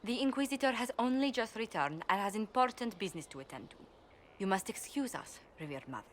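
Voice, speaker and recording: An actress playing a composed, diplomatic noblewoman ambassador in a video game, speaking politely and formally, with measured firmness. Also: mid-range voice, animated, a little hiss or hum, natural voice. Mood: politely firm. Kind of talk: video game cutscene acting.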